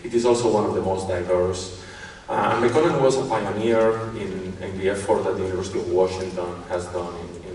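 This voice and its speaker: A man making the formal introduction at a graduation, his flat delivery echoing around a big venue over faint noise. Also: low-pitched. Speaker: university department chair introducing a graduation speaker. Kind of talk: ceremony introduction speech. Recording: echoey hall, distant mic, faint noise.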